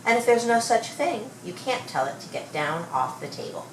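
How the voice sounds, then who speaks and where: A woman reading a children's story aloud with expressive, measured storytelling. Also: a little high. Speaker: storybook reader. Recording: roomy, distant mic, faint noise.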